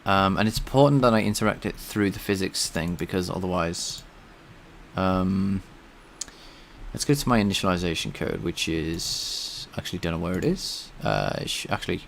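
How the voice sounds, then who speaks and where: Low gravelly man thinking aloud. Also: sing-song, moderate pace, slight background noise. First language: English. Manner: thinks aloud while coding, answers chat. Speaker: streamer. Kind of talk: casual live-coding stream chat.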